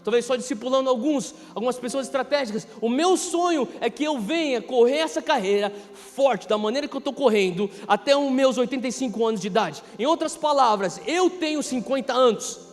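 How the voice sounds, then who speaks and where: Male pastor, thirties, Brazilian accent, very high-pitched impassioned delivery with heavy emphasis, long hall reverb. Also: lively intonation, brisk, few pauses. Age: 30s. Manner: emphatic, repeating key phrases, swinging from hushed to loud. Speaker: pastor preaching. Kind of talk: impassioned sermon. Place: large reverberant church hall. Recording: reverberant hall PA, music underneath. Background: music.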